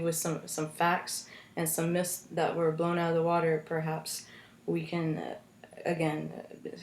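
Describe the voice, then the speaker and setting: A young American woman giving an informative class speech in an earnest, halting way, with frequent restarts, at home with her roommates listening. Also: on the low side. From United States. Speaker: student speaker. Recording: echoey room.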